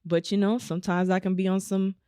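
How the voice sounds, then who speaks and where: A woman from Omaha with a naturally raspy voice, talking casually as a guest in a podcast interview with a laid-back flow. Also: mid-range voice, level tone, a little fast, dark tone, no echo, studio quality. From Omaha, United States. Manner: laid-back, frequent "you know" and laughs. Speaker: guest musician.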